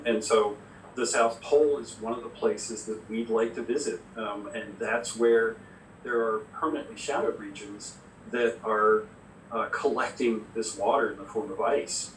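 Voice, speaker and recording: A man answers as a science specialist in a live interview, explaining in a measured, halting way. His mid-pitched voice is clearly echoey and a little far from the mic. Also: fairly bright tone. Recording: echoey, distant mic, faint noise.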